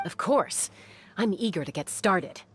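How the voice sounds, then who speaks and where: A woman playing a cool, level-headed female adventurer in a video game, calm and understated. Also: on the low side, a little slow, faint music behind. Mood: eager. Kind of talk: English video game voice acting.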